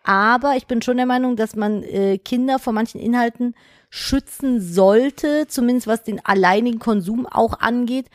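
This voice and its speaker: A woman's voice: on the high side, normal speed, no room sound, studio quality. A woman co-hosting a podcast with her husband, speaking bluntly and with strong opinions, swearing casually. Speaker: podcast co-host. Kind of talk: casual chat.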